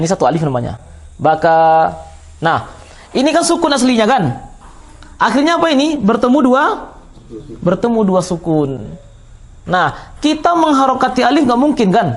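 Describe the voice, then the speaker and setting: A man with a Minangkabau Indonesian accent teaching a grammar class, explaining step by step and quizzing his listeners. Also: high voice. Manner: didactic, repeats and quizzes listeners. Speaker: Islamic teacher of Arabic grammar. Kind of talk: classroom lesson, explaining with questions to students.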